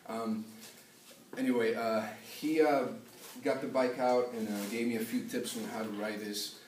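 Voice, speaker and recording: A man's voice: mid-range voice, fairly even intonation, darkish tone, distant mic, a little hiss or hum. Peru-raised man telling a story, echoey room. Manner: halting storytelling with many filler sounds. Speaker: student giving a class speech. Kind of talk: unscripted personal story.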